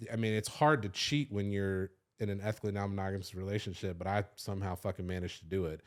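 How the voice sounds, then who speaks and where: Man, 30s, lowish voice; confessional podcast host, casual, profane, filler-heavy. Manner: loose and confessional, swears freely, many "like" fillers. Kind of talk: candid personal storytelling.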